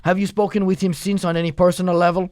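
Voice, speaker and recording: A young man interviewing a guest with deadpan, mocking humor. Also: high-pitched, fast talker, no room sound, clean studio sound. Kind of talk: comedic confrontational interview.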